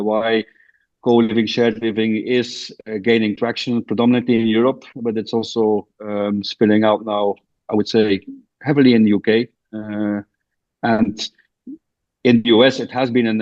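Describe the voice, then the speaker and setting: A man from Belgium, a guest on a podcast, explains his work slowly with many hesitations and restarts. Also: lowish voice, a little mellow. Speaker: guest, co-living founder. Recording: dull lo-fi remote audio.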